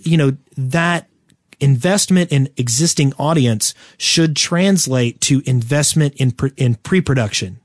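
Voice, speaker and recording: A man co-hosting a podcast in casual chat, speaking in a halting, opinionated, conversational way into a close microphone. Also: medium pitch, dry recording. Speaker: podcast co-host.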